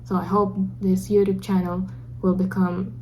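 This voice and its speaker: A woman's voice: medium pitch, little pitch movement, normal speed, darkish tone, slight background noise, poor audio. A young woman with a Russian accent, Russian her first language, talking earnestly and a bit awkwardly straight to her camera in a casual video diary. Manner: self-conscious, earnest, a little awkward. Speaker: vlogger. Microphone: camera mic.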